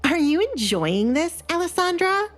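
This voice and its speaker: A woman's voice: extremely high pitch, big pitch swings, moderate pace, pitched above the performer's own voice. A woman voicing a spiteful, jealous young noblewoman with a taunting, scornful manner in an audio romance drama.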